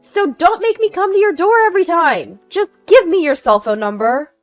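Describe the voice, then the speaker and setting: A woman voicing an aggressive, nagging housewife neighbor in her forties, loud, demanding and scolding, in a narrated story. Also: very high-pitched, lively intonation, moderate pace, no echo, soft background music, voice pushed up. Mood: exasperated demanding.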